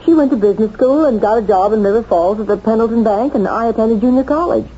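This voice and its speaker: An actress playing a guarded yet chatty young office worker in a 1970s radio drama, conversational and hesitant. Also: female voice, on the high side, fast talker, warm tone, slightly rough. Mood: uneasy recollection. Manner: conversational, hesitant, emphatic exclamations. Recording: dull, faintly noisy old radio recording.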